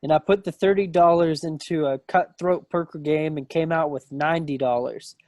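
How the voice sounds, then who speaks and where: A man's voice: a little high, fairly even intonation, normal speed, a little mellow, no room sound, clean studio sound, lightly acted. A man playing a breezy, rueful young wartime serviceman in a table read of a stage play over a video call. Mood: wry, rueful. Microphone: computer mic on a video call.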